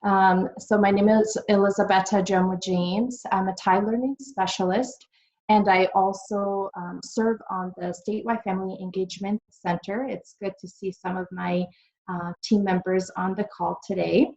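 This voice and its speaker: A woman from Italy, giving a webinar presentation over a video call and filling pauses with frequent ums and uhs. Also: mid-range voice, little pitch movement, darkish tone. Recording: dull lo-fi video call audio.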